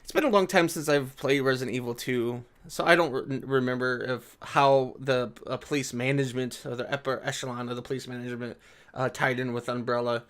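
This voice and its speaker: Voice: medium pitch, a bit bright. Casting: sarcastic online commentator, mid-range voice, informal read-and-react delivery. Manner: sarcastic, mocking. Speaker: video commentator. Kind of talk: reading an article aloud with reaction commentary.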